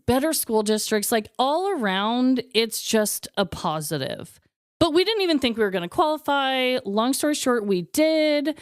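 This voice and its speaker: A woman's voice: a little high, very animated, moderate pace, clean tone, dry recording, studio quality. A woman in her thirties co-hosting a podcast, chatting candidly with a lot of "like" and the odd swear word. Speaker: podcast co-host. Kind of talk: casual chat.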